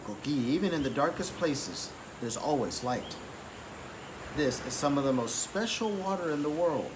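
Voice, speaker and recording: A man's voice: a little high, animated, moderate pace, lightly acted. A man voicing a kindly, helpful iguana who speaks in a soothing, reassuring way. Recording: clearly noisy background.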